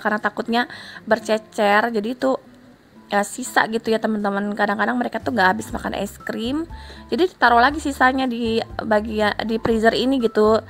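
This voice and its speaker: A woman vlogger with a stuffy, nasal voice from a cold, chatting casually to her viewers as she narrates her housework. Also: on the high side. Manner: chatty, keeps addressing viewers, tags lines with soft fillers. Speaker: homemaker vlogger. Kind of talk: casual vlog voice-over. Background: faint background music.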